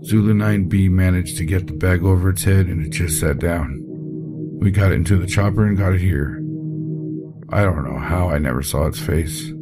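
Male actor as low-pitched, plain-spoken captain, haunted by losing his squad, audio drama, prominent music bed. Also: normal speed, close to an everyday voice. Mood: weary, shaken. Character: shaken, foul-mouthed military captain recounting losing his squad. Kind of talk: audio drama, interview log acting. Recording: voice over loud background music.

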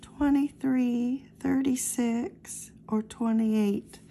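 A woman with a high, gravelly voice talks slowly and easily, reading lottery numbers aloud one at a time. She sounds close to the mic, with a faint hiss behind her. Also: fairly even intonation, mellow. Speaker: scratch-off ticket vlogger. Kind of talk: casual talk while scratching lottery tickets.

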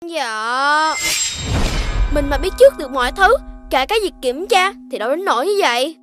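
A very high, childlike voice for an impulsive cartoon puppy. It is cheeky and expressive, with a Southern Vietnamese accent, over loud children's music. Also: female voice, normal speed. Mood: sulky. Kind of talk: animated children's cartoon acting.

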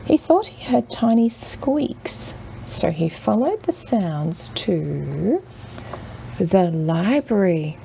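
A woman reading a children's picture book aloud as a mother would, expressively and with dramatic pauses. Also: mid-range voice, big pitch swings, gravelly, slight background noise, rough recording. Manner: measured, with dramatic pauses and hushed drawn-out words. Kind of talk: expressive children's storybook narration.

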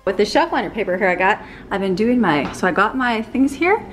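A woman's voice: mid-range voice, very animated, a little fast, soft background music. A woman in her thirties chatting casually to a handheld camera as she works in her home kitchen. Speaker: vlogger. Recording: echoey kitchen with room noise.

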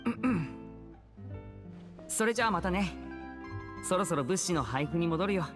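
Casting: composed young game magician, soft-spoken older-brother type; reverberant, faint music behind. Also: male voice, high-pitched, animated, moderate pace. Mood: gentle. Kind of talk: Japanese anime game acting.

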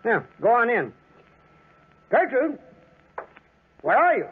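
Male, earnest protective friend, urgent, high lively pitch, 1940s radio acting, tinny old recording. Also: slow pace, husky, exaggerated voice. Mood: uneasy. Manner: urgent, emphatic, solicitous.

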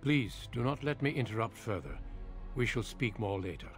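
A man's voice: animated, moderate pace, faint music behind, natural voice. A man playing a grave, courteous middle-aged warrior commander in a fantasy video game, with formal, composed delivery. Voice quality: low, husky.

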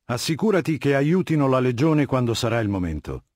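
An actor playing an imperious, ruthless middle-aged warlord in a dubbed video game, commanding, measured and scornful. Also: male voice, mid-range voice, very animated, a little mellow, dry recording, studio quality. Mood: measured authority. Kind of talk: dramatic game dubbing, scripted dialogue.

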